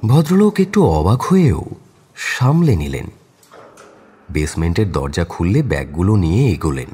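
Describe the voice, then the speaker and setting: Deep, mellow male story narrator. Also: sing-song, normal speed, husky. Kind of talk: dramatic scripted story narration.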